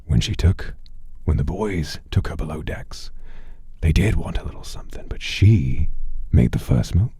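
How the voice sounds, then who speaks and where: A man voicing a desperate, secretive pirate in a told story, with a halting, grave manner. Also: rumbling low, expressive, mellow, slightly rough, no echo, heavily acted. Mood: embarrassed, halting. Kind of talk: acted quotes within a story.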